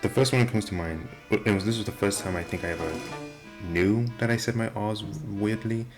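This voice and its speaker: A young man with a deep voice and nonstandard R sounds, talking casually and self-deprecatingly to the camera. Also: fairly quick. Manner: rambling, self-deprecating, many restarts. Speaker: vlogger. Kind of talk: casual rambling monologue. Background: video game music playing.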